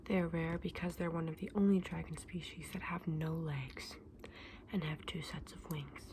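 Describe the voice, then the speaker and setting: A woman acts out a friendly fantasy shopkeeper in an ASMR roleplay. Her voice is a soft, breathy whisper on the low side, with plenty of vocal fry. She explains things candidly with small hesitations, over faint background noise. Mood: gentle, advising. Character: friendly, candid female dragon egg shop keeper.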